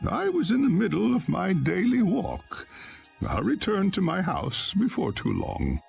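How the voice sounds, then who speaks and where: A man playing a mild, wise old village elder in a video game, speaking formally and courteously with a gravelly, husky voice over music. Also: high-pitched, huge pitch swings, unhurried, darkish tone, full character voice. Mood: calm, courteous. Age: older.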